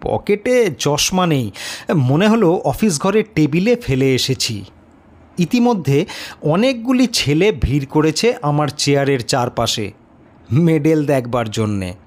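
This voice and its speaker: A man's voice: a little high, lively intonation, measured pace, darkish tone. A man narrating a literary story in the first person, reading from a script.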